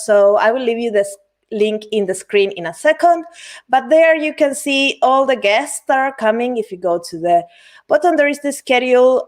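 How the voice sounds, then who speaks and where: Upbeat high-voiced woman host. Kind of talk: casual livestream chat.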